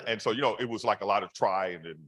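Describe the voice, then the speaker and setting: A man with a deep voice telling stories animatedly in a casual conversation over a video call, with many fillers. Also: expressive, fast talker, a bit bright.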